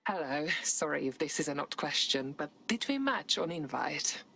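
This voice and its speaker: Quiet sly woman, real low pitch, polite n tentative, video game scene. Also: very animated, normal speed, vocal fry, slight background noise, heavily acted. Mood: polite, playful.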